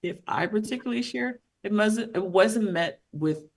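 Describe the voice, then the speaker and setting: Reflective woman facilitator raised in the US South, halting delivery, video call. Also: medium pitch, lively intonation. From Southern United States. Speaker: class facilitator. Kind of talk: casual class discussion.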